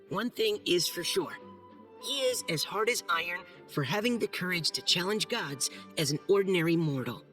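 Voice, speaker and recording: An earnest young knight surveyor from a video game. The voice is bright and boyish, with lively pitch and a hesitant, formal delivery. Faint music plays behind. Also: male voice. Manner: hesitant, nervous, stammering, formal. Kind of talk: video game acting, reading a letter aloud.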